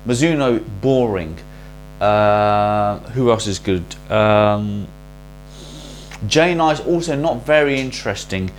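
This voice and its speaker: A man hosting a casual product review in a chatty, salesy way with quick asides, his voice a little worn from recording many videos. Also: on the low side. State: losing his voice. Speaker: racket reviewer and shop host. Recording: faint mains hum.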